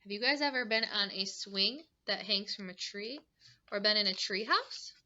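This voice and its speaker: A woman's voice: medium pitch, normal speed, bright, forceful tone, highs cut off. A woman teacher reading a picture book aloud to young children, adding simple explanations and questions in an instructive way.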